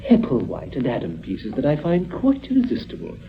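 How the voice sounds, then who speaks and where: Brisk, animated English gentleman burglar. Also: a little high. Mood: refined, discursive. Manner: genteel, elaborately wordy, polite. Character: urbane, refined English gentleman burglar with expensive taste. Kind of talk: 1950s radio drama acting. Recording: dull vintage 1950s radio recording.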